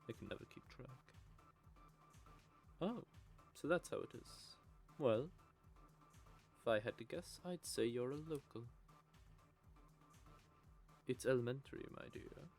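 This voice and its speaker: A man's voice: mid-range voice, animated, takes it slowly, long pauses, a little mellow, soft background music. A man playing a bitter, sardonic male vampire who curses and mocks. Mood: flirty. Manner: cursing, mocking, gravelly. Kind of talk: audio drama roleplay.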